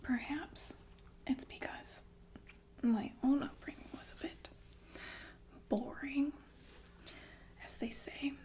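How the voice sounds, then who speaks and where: A woman playing a calmly menacing, sadistic killer nurse in an intimate, deliberate ASMR roleplay full of pensive pauses. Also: high voice. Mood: menacing musing. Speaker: ASMR performer. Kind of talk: ASMR roleplay monologue in whispers.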